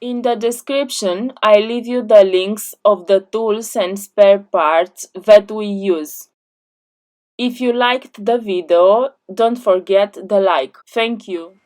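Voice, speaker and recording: A woman narrating a scripted step-by-step repair tutorial in short, measured sentences. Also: medium pitch, darkish tone.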